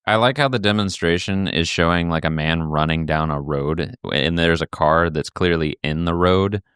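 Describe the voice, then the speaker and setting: A man's voice: low voice, moderate pace, a bit bright, smooth, no echo, studio quality. A man co-hosting a podcast in casual, joking conversation. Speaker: podcast co-host.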